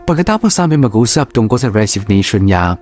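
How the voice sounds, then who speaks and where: A man with a Filipino accent reading a story as dramatic, measured scripted narration. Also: lowish voice, sing-song, darkish tone, faint music behind.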